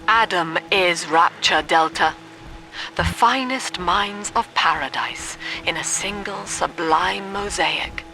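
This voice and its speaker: An actress playing a cold, calculating cult leader who lectures in a measured, clinical way in a video game. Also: female voice, lowish voice, rough recording. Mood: cold reproach. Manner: measured, clinical, precise diction. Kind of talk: scripted video game monologue.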